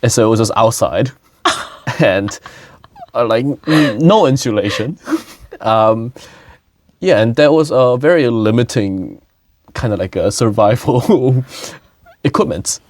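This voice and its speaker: A man's voice: medium pitch, animated, slow pace, long pauses, dry recording. A young man from South Korea, hosting a casual talk, who laughs often and talks with many fillers and restarts. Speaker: podcast host. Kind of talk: casual conversation.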